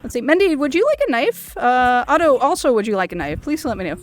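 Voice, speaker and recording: A woman's voice: high-pitched, very animated, fast. A woman streamer chatting casually with a guest, laughing often and chatty. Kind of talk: casual chat on a charity stream.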